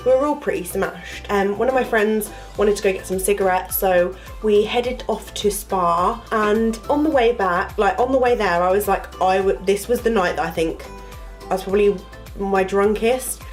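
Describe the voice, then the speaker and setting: A woman's voice: mid-range voice, normal speed, soft background music. A young woman chatting to camera, her voice nasal from a cold, rambling with lots of fillers. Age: young adult. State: congested, nasal from flu. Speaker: vlogger, university student. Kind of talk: casual storytelling to camera.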